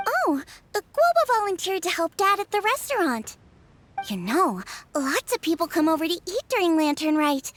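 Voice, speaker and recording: A woman's voice: extremely high pitch, very animated, normal speed, a bit bright, heavily acted. A woman voicing a bubbly, friendly teenage girl cook in a video game, earnest and chirpy. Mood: bright, bashful. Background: music.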